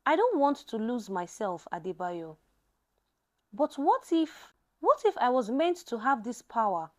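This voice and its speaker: A woman's voice: on the high side, big pitch swings, a little slow, darkish tone. A woman voicing a fearless but troubled female mechanic in a story, earnest and defiant.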